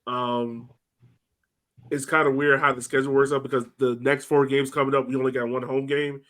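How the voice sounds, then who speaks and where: A man's voice: medium pitch, level tone, brisk, fairly bright tone. A man co-hosting a sports podcast, talking in a loose, rambling way with easy laughs. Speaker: podcast co-host. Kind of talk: casual chat.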